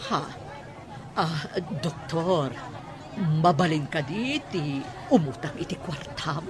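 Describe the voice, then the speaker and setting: A sarcastic middle-aged woman teases and needles with a lively, swooping delivery, as an actress plays her. Market crowd chatter carries clearly behind her. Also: sits low, slow. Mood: mocking. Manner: mocking, bantering. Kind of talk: radio drama acting.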